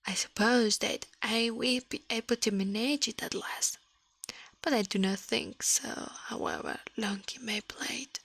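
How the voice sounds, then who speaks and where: A woman reading a story aloud in a gentle, breathy ASMR whisper, halting now and then, close to the microphone. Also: on the high side, no room sound. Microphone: close microphone, dry sound.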